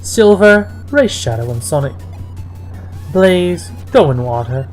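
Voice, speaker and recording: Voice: mid-range voice, expressive, slow, a little mellow, soft background music. A person reading fan fiction and its reviews aloud in a mocking dramatic style, breaking off into exasperated asides.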